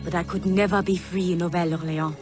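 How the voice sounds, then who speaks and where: Sorrowful middle-aged mother, played by an actress: low, tender, formal, game drama, music behind. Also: steady tone, fast, close to an everyday voice. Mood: solemn, loving. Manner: solemn, formal phrasing. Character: tender, sorrowful long-absent mother, freed woman in exile. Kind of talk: dramatic video game cutscene acting. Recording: background music.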